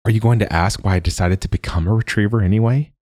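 A man voicing a talkative, persistent young woman who teases and chatters quickly. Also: fairly low pitch, a little mellow, no room sound, clean studio sound, voice pushed up, lightly acted. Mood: teasing. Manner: talkative, teasing, rapid.

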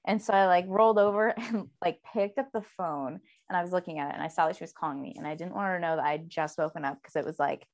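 A woman's voice: lowish voice, fast, hardly any pauses, lo-fi sound. A sleepy young woman in casual chat, rambling with lots of fillers and giggles. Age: young adult.

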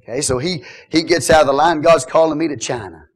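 A middle-aged man preaching a sermon as pastor, telling jokes and swinging from quiet asides to loud exhortation. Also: on the high side, fairly quick.

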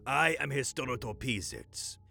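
An actor playing a stern, coolly authoritative supervisor in an audio drama, speaking formally and deliberately. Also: male voice, mid-range voice, animated, vocal fry, sharp tone, soft background music, heavily acted. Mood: cold, formal.

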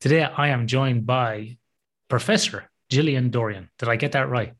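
A man's voice: mid-range voice, normal speed. A man hosting a podcast in a casual interview style, chatty and given to joking and teasing. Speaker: podcast host.

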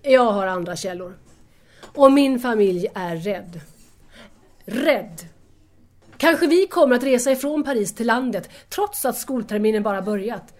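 A woman's voice: on the high side, animated, darkish tone, close to an everyday voice. A young actress playing an anxious, emotional woman in wartime Paris in an audio drama, speaking earnestly. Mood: agitated, afraid. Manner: earnest, agitated.

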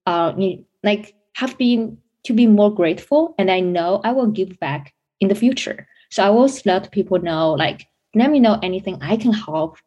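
A woman's voice: mid-range voice, animated, normal speed, hardly any pauses, dark tone, dull audio. A young woman from China, a business school student answering interview questions in a chatty way with many fillers and restarts. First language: Chinese.

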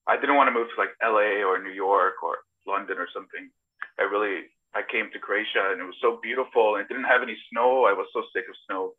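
Over a thin, phone-like internet call, a middle-aged man speaks in a slightly low voice. He is a podcast guest telling casual anecdotes about himself. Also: quick pace, husky. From born in Serbia, grew up partly in Toronto, Canada. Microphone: video call.

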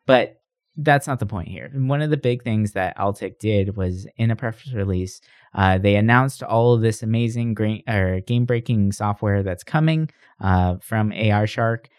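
A man with a fairly low voice delivers casual news commentary as a podcast host. He speaks conversationally, often checking in with rhetorical tag questions. The recording is dry and close, with little room sound. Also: normal speed, darkish tone, dry recording.

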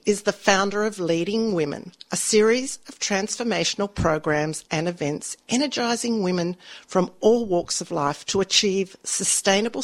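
A woman, hosting an interview show in an upbeat, enthusiastic way. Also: mid-range voice. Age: 60s. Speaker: podcast host. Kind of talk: scripted intro then interview chat.

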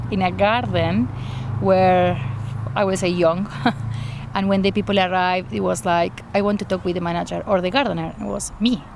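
Woman, Argentine Spanish accent, earnest, interviewed outdoors in a garden, faint background noise. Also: mid-range voice. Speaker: garden coordinator. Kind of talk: interview, personal account.

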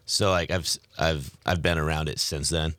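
A man co-hosting a casual podcast chat, laid-back and quick to laugh. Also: a bass voice, fairly even intonation, fairly bright tone, no echo. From family from Oaxaca, Mexico. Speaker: podcast co-host, former Spanish teacher.

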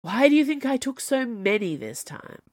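A woman's voice: a little high, sing-song, normal speed, a little mellow, no echo, clean studio sound, pitched above the performer's own voice. A woman reading the part of a cold, cruel fairy-tale queen who drags out her words with a sneer. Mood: bored.

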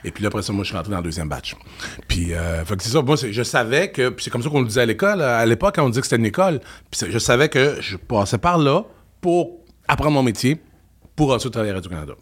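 A Québécois man in his fifties, a former music television host, recounts his early career. He speaks quickly and with animation, in a voice of ordinary pitch. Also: breathy edge. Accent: Québécois French. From Quebec. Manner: animated storyteller, quick and chatty. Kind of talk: casual interview chat.

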